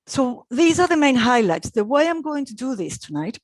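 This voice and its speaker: A woman's voice: sits high, sing-song, normal speed, dry recording, studio quality. Chatty woman travel expert. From Chile. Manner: chatty, with frequent ums and restarts. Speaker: travel expert presenting a webinar. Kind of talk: live online presentation.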